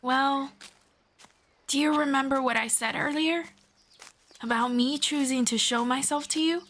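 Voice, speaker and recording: Woman doing a friendly elf lady for a fantasy roleplay, kinda high voice, talks really slow, formal and gently explains stuff. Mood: calm, confiding. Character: friendly, knowledgeable female elf guiding a human. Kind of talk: scripted roleplay audio, one-sided conversation with listener.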